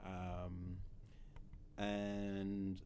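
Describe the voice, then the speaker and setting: A man's voice: sits low, monotone, drawn-out words, a little mellow, clear, resonant tone. A man in his thirties from Zimbabwe, a podcast guest who talks hesitantly with many fillers and restarts. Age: 30s. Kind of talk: casual interview.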